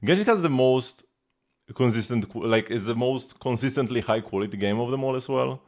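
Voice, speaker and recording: A man's voice: medium pitch, brisk, darkish tone. A man chatting casually as he streams a game, rambling and repeating phrases as he goes. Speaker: live streamer. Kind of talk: casual livestream chat and gameplay commentary. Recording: music playing behind the voice.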